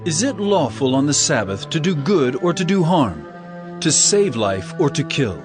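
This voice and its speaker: A solemn authoritative holy teacher man in an audio bible drama, lively pitch swings, music behind. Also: moderate pace. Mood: firm, challenging. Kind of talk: dramatized scripture acting.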